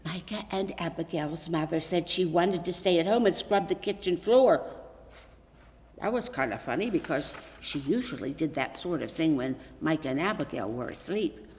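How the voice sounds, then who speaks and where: A woman reading a children's Christmas story aloud as scripted narration, in an expressive, deliberate way with dramatic pauses. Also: low voice. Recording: echoey hall with long reverb.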